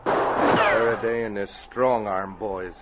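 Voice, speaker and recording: An actor playing a shaken, remorseful outlaw with a halting, earnest delivery in a 1940s radio adventure. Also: male voice, lowish voice, steady tone. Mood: tense, bitter. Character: shaken, remorseful outlaw, betrayed by his partner. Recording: dull, hissy 1940s radio recording.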